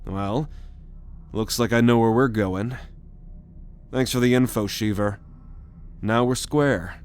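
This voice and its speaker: A man's voice: mid-range voice, slow, clear, resonant tone, close to an everyday voice. A man playing a cocky, commanding alien rogue with a sardonic manner in an ASMR roleplay audio drama. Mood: confident. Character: cocky male alien rogue, bold leader on a mission.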